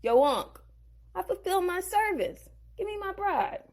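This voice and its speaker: A woman's voice: extremely high pitch, sing-song, pitched above the performer's own voice, heavily acted. A woman doing a hot-headed young lover. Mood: eager. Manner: slangy, quick to outrage. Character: young man in love, hot-headed and slangy. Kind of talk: storybook audio drama.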